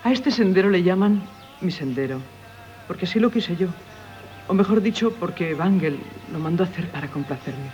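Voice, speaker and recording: An actress with a Castilian accent playing a restless, dreamy, frank doctor's wife who loves the sea, in a 1970s Spanish radio drama. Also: female voice, lowish voice, lively intonation, normal speed, warm tone, soft background music, close to an everyday voice. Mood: wistful, confiding. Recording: muffled 1970s radio broadcast.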